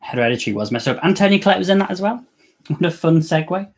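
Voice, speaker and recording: A man's voice: high voice, animated, fast. A man with a Scouse accent hosting a live movie watch-along, chatting casually and joking as he answers viewer comments. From England. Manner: jokey, chatty, reacting to the film and reading viewer comments. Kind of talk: casual live-stream chat.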